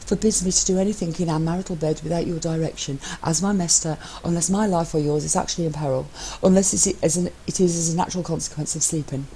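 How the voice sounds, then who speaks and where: A woman's voice: low voice, steady tone, fast, mellow, slight background noise, pitched above the performer's own voice, poor audio. A woman voicing a cheeky, stubborn, submissive husband in a story reading, reciting things in a pedantic, formal manner. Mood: pedantic trolling.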